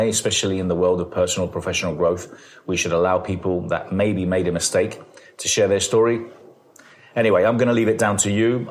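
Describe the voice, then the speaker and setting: Low-voiced earnest male interview host. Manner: earnest, hesitant with many fillers. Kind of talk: intro then interview questions.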